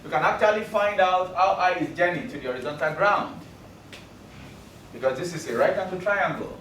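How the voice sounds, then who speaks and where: A man's voice: sits high, normal speed, a bit bright, distant mic, slight background noise. A man whose first language is Yoruba, teaching a classroom math lesson with jokes, often checking that his students follow. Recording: roomy classroom recording from afar.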